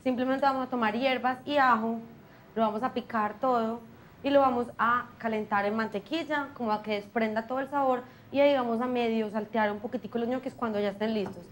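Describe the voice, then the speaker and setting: A young woman hosting a cooking show, explaining each step to viewers in a brisk, friendly way from a small studio kitchen. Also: on the high side, steady tone, slight background noise. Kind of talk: friendly televised cooking lesson.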